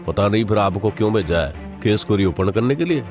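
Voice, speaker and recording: A man's voice: fairly low pitch, animated, a little fast, over music, close to an everyday voice. Deferential, talkative police sub-inspector, voiced by a man. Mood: doubtful. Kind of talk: dramatic cartoon dialogue.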